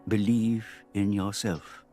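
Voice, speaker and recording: A man's voice: fairly low pitch, flat delivery, slow, warm tone, faint music behind. An actor playing a solemn, weary old man in a video game cutscene.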